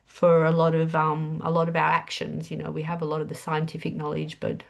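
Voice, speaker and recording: Older Aussie woman, low croaky voice, stuffed-up nose, informal presenter. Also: monotone, a little fast. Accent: Australian. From Australia. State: has a cold, nasal. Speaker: webinar speaker. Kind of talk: casual presentation.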